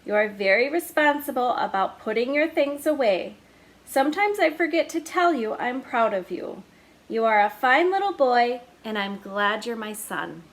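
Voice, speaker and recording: A woman voicing a kind, patient father who talks in a gentle, reassuring way. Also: on the high side, sing-song, normal speed.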